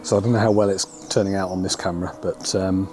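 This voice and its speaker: A lower-voiced man, croaky from a cold, chatting in a relaxed, halting way as he walks through a forest. Plenty of ums between his thoughts, and loud music plays under his voice. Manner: relaxed, hesitant, many ums. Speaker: photography vlogger. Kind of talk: casual walk-and-talk narration. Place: outdoors in a forest.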